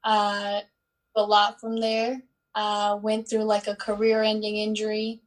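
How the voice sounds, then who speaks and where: A woman in her twenties, born in Bosnia and Herzegovina, answering interview questions in an unhurried way with many pauses and fillers. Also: medium pitch, completely flat delivery. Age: 20s. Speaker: guest, student athlete.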